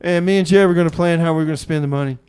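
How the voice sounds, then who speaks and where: A man hosting a community radio show with casual, improvised banter, speaking loosely and haltingly and often repeating his phrases. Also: on the high side, steady tone, a little mellow, clear, resonant tone.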